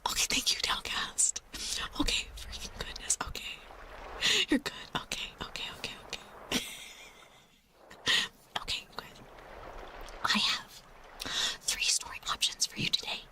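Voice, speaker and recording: A woman's voice: moderate pace, long pauses, poor audio. A woman hosting an ASMR livestream, whispering breathily and close to the mic in a playful, rambling, apologetic way. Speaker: livestream host.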